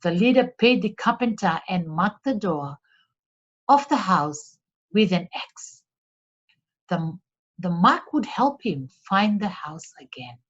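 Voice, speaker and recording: A woman reads a children's story aloud at an unhurried pace, with lively rises and falls in her voice. Her tone has a soft husk to it, and the recording is a little dull. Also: mid-range voice. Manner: deliberate, expressive read-aloud for children. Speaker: storyteller for a read-along. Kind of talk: scripted storybook narration.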